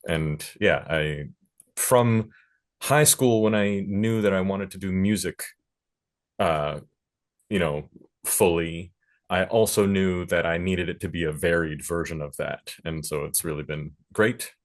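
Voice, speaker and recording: A man's voice: low voice, unhurried. A man speaking casually as a panelist about his working life as a sound engineer and teacher. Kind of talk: casual panel talk about own career.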